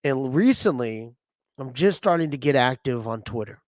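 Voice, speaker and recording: A man hosting a sports podcast in a casual, rambling way with many fillers, his voice slightly hoarse from a cold. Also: medium pitch, animated, moderate pace, a little mellow, no echo, studio quality. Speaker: podcast host.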